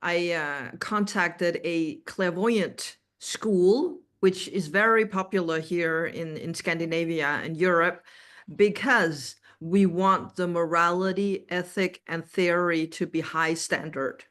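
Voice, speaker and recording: A middle-aged woman with a Danish accent speaks as an interview guest. Her pitch is a little low and her pace is very slow, with measured, halting explanations. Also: a bit bright. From Denmark. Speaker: interview guest, animal communicator.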